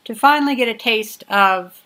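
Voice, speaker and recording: A woman's voice: fairly high pitch, normal speed, sharp tone. Rambling woman in her twenties, livestreaming. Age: 20s. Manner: rambling, chatty, many fillers. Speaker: livestream host. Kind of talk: casual livestream chat. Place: home workshop.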